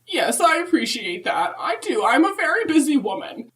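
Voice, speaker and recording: A woman as centuries-old Christmas matriarch, highish voice, swooping intonation, chatty, opinionated, folksy, comic podcast interview. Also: big pitch swings, moderate pace, a bit bright. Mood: chatty, proud. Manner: rambling, emphatic, folksy.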